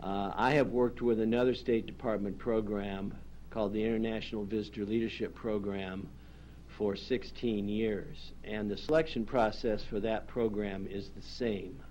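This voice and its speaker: A man speaking to a county board as a program coordinator, in a measured and courteous manner. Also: on the low side, steady tone, a little mellow, a little hiss or hum, poor audio. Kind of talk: formal address to a public board. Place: county legislative chambers.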